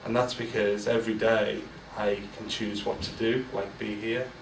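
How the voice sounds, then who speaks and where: A man's voice: lowish voice, little pitch movement, normal speed, slight background noise. Roomy, distant man giving a candid speech. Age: 40s. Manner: candid and self-deprecating, with many fillers and restarts. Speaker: guest speaker. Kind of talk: informal business speech. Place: large event room with an audience. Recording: roomy, distant mic with faint ambience.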